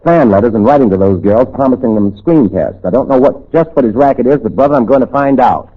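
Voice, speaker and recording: An actor playing a suave, courteous film star with a husky voice and a wry, hesitant manner in a 1940s radio comedy. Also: male voice, medium pitch, animated, fast, lightly acted. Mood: determined. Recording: thin, tinny old-time radio recording.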